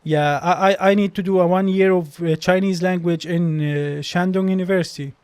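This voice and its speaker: A man's voice: high-pitched, steady tone, moderate pace, darkish tone, smooth, no room sound. A young man with a Palestinian accent whose first language is Arabic, a doctor telling stories as a podcast guest, hesitant with many restarts. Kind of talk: casual interview storytelling.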